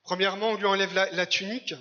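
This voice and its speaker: A man from Algeria preaching a church sermon in short phrases, pausing for an interpreter. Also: high voice, steady tone, sharp tone, lo-fi sound. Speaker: guest preacher. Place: church service.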